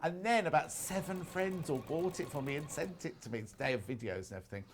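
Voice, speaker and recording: A British man hosting a live sewing shopping show, chatting in a quick, jokey way. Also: a little high, expressive, a little hiss or hum. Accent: British English. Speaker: shopping channel presenter. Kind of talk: casual chat while sewing on air.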